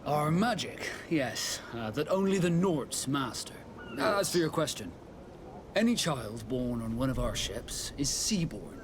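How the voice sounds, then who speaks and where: A man playing a proud, seasoned sea captain in scripted video game dialogue, speaking in a measured, formal and deliberate way. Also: mid-range voice, animated, noisy background, lightly acted.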